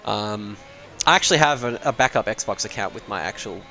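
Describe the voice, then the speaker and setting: A man's voice: on the low side, lively intonation, brisk, sharp tone, rough recording. A man casually commentating a sim racing league on a live stream, rambling and joking at his own expense and swearing freely.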